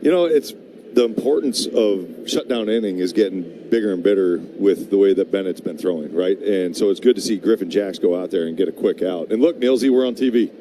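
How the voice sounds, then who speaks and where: A man's voice: sits low, fairly quick. A middle-aged man giving casual, conversational color commentary on a baseball broadcast. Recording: broadcast with faint background noise.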